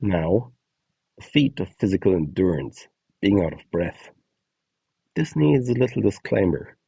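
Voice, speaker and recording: A man giving instructional narration in a measured, explanatory way with a light touch of whimsy. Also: low-pitched, big pitch swings, creaky, warm tone, breathy edge, no echo, low-quality recording. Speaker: presenter of a voice donation guide.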